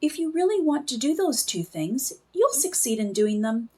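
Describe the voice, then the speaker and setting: A tiny magical storybook companion, voiced by a woman, cheerful and encouraging. The voice is chirpy, fairly high and full of lively rises and falls. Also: moderate pace. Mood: reassuring.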